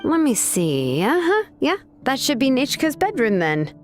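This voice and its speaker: A woman's voice: high voice, huge pitch swings, normal speed, soft background music. An actress playing a laid-back, caring young craftswoman in a video game, talking casually and modestly. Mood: nostalgic. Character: laid-back, caring young woman, tribe's name engraver.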